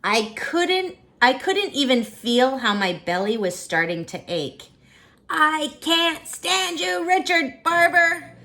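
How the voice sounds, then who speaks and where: Storybook little sister, young, fiery and dramatic, pitched well up; woman reader playing her. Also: very animated, takes it slowly, vocal fry, bright, forceful tone, heavily acted. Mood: furious, exasperated. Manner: dramatic, emphatic. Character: furious young girl fed up with her brother. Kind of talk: storybook character voice.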